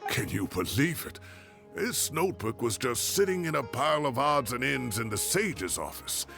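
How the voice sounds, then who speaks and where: A man's voice: medium pitch, very animated, normal speed, vocal fry, sharp tone, exaggerated voice. An actor playing a jovial, absent-minded older male professor in a video game, chatty and exclamatory. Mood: delighted. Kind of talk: video game character dialogue. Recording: background music under the voice.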